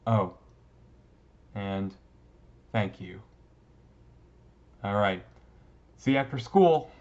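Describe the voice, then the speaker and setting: Man playing bashful teen-looking vampire boyfriend, unhurried, lowish voice. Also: lively intonation, a little mellow, dull audio. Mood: playful, fond. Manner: hesitant, bashful, casual, teasing. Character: shy young-looking male vampire, emo goth classmate, sweetly smitten. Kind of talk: romantic roleplay audio drama, talking to listener.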